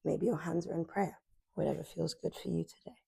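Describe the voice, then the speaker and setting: Young woman yoga teacher, gravelly low voice, husky, dry living room sound. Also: steady tone, no echo. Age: 20s. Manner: soft, unhurried cueing while stretching. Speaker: yoga instructor. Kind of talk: guided stretching class. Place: sunlit living room with large windows.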